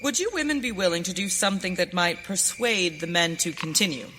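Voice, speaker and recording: A woman playing a steady, courteous masked western hero in a radio play, with formal, deliberate diction. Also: on the low side. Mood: courteous, earnest. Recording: hall reverb, faint noise.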